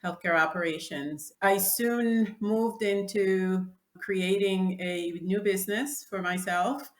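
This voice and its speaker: A woman's voice: medium pitch, slow. A woman in her sixties from the Dominican Republic, a Spanish native speaker, answering interview questions in a reflective way with frequent restarts. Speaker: guest, clothing designer. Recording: echoey room.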